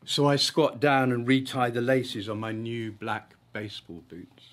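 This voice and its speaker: A man, an author reading his own short story at a live event, with deliberate, emphatic phrasing and comic timing. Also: medium pitch. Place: live literary event at an arts venue.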